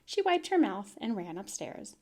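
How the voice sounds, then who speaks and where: A woman teacher reading a children's chapter book aloud in a clear, expressive storytelling manner. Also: mid-range voice, normal speed.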